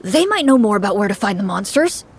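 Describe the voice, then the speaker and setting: A woman's voice: medium pitch, fast, breathy edge, pitched below the performer's own voice. A woman playing an anxious, earnest townsman. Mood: worried. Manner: earnest, pleading, excitable. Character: anxious, emotional male townsman. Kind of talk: fan voice-over of a game scene.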